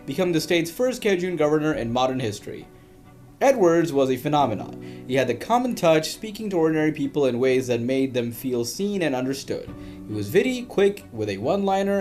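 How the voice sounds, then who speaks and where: A man reading a scripted history documentary as the narrator, with a dramatic storytelling delivery and emphatic pauses. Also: on the high side, darkish tone.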